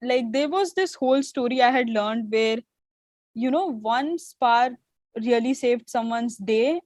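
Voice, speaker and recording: Young woman host, hindi is her first language, kinda high voice, dry sound, lots of "you know"s. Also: measured pace, no echo. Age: young adult. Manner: warm affirming, hesitant phrasing with many fillers. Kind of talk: interview hosting.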